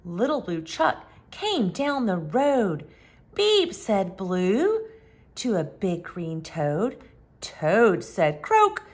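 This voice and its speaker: Very slow, animated woman storyteller. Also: mid-range voice. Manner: expressive, with emphatic animal sounds and drawn-out words. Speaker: children's storytime host. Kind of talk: animated picture-book read-aloud for young children.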